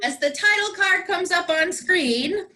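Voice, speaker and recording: Female narrator for comedy script, bright, high, dramatic, tongue-in-cheek. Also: expressive, unhurried. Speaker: comedy troupe performer, narrator.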